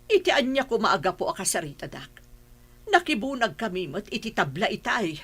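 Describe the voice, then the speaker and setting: An actress playing a wary, respectful mother defending her child in a radio drama, with a husky voice and Filipino accent. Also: mid-range voice, very animated, fairly quick, fairly bright tone. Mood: puzzled, guarded.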